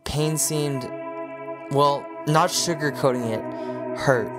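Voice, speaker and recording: A man's voice: fairly high pitch, steady tone, slow. A man reading scripted narration for an audio drama. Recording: voice under loud music. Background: very loud background music.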